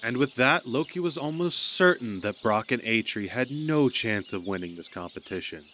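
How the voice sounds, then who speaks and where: A man's voice: mid-range voice, lively intonation, normal speed, pushed and bright, a little hiss or hum. A man narrating a myth as a scripted storyteller in an audio drama.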